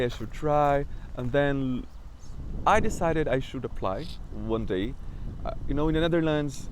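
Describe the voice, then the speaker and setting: A man's voice: mid-range voice, darkish tone, a little hiss or hum, low-quality recording. Brazilian man who stutters. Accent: Brazilian Portuguese. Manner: stutters, with repetitions and restarts. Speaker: vlogger. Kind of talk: casual personal vlog.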